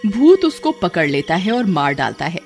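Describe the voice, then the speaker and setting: Animated storyteller narrating a cartoon tale. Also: medium pitch, moderate pace, creaky, music in the background.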